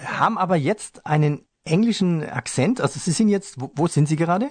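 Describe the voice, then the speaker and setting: A man hosting a finance podcast interview, with smooth, scripted moderation and light jokes. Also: a little high, lively intonation, a little fast, a little mellow. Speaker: radio host.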